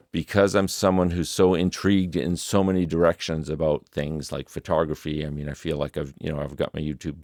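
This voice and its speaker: A man's voice: darkish tone, no room sound. A middle-aged man, thinking aloud in a reflective vlog recorded in his home music studio. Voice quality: deep voice. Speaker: musician and video creator. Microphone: desk studio microphone.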